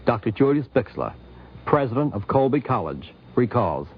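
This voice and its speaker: A man reading scripted narration for a 1950s radio documentary in a formal, measured manner. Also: mid-range voice, big pitch swings, slightly rough. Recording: dull 1950s radio recording.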